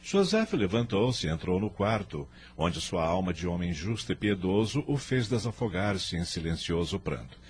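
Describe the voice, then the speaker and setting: A man's voice: sits low, very animated, normal speed, few pauses, darkish tone, a little hiss or hum. A man with a Brazilian accent giving solemn, literary narration for a radio drama. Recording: music bed under narration.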